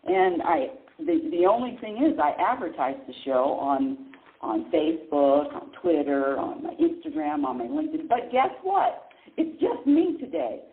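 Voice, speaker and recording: A woman's voice: medium pitch, very animated, measured pace, vocal fry. A woman hosting a live call-in spirituality radio show, chatting casually and rambling with frequent restarts. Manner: chatty, rambling, restarts often, laughs easily. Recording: echoey, tinny phone-line sound.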